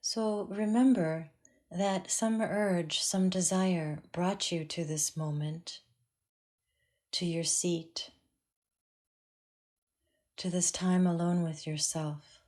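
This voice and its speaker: A woman teaching a guided meditation in a calm, measured way with long pauses. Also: fairly low pitch.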